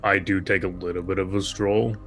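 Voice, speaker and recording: A man's voice: low voice, level tone, brisk, fairly bright tone, soft background music. A man playing a tabletop roleplaying game, casually describing what his character does.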